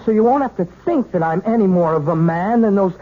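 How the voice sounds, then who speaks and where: A young actor playing an earnest, stammering piano student in a 1970s radio drama. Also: male voice, high-pitched, animated, a little fast. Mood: indignant. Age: young adult. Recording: tinny old radio broadcast with music.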